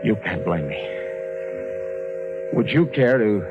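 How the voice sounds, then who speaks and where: A man's voice: fairly low pitch, big pitch swings, takes it slowly. An actor playing a troubled, earnest man who narrates and acts in a 1950s radio drama. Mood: haunted, resigned. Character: troubled, earnest man recounting a friend's eerie disappearance. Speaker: narrator and main character. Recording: dull 1950s radio recording with music.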